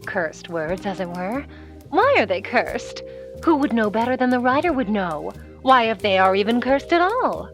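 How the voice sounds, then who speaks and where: An actress playing an ambitious, sardonic aspiring film star in a 1940s-style noir audio drama, dry and theatrical. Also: female voice, on the high side, very animated, moderate pace, music in the background. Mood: insistent.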